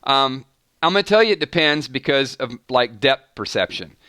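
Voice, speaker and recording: A man hosting a casual fan live stream, his voice bright and forward with a smooth, clean tone. He keeps cutting himself off to react to the chat, tossing out "hold on" and "wait a minute" as he goes. The sound is close and dry, with no room around it. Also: mid-range voice, moderate pace, no room sound. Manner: animated, breaks off mid-sentence with repeated "hold on" and "wait a minute". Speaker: fan channel host.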